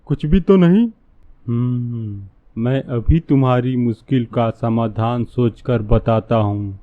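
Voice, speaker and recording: A man's voice: medium pitch, slow, close to an everyday voice. A man playing a scheming, lecherous fake holy man in a cartoon, speaking in a sly, pompous way. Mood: smoothly persuasive. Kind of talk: cartoon dialogue. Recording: narrow, phone-like muffled sound.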